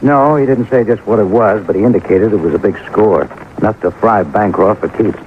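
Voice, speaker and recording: Low-voiced, terse police sergeant played by a man. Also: a little fast, close to an everyday voice. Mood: dogged. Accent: American. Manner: terse, colloquial. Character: plainspoken weary male homicide police sergeant. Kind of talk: 1950s radio detective drama. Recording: tinny, noisy old radio recording.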